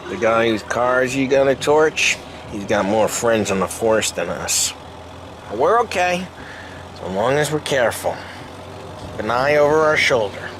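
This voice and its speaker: A man's voice: medium pitch, noisy background, lightly acted. An actor playing a cocky, teasing male mobster in a video game. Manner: wisecracking, teasing, laughs loudly.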